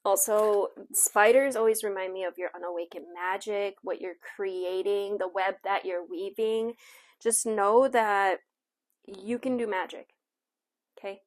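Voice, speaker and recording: A woman's voice: mid-range voice, steady tone, a little slow. A woman hosting a tarot and astrology reading in a casual, conversational way, often checking in with the listener and offering reassurance. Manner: conversational, reassuring asides, frequently checks in with "okay". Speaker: tarot and astrology reader, show host. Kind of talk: casual intuitive oracle card reading.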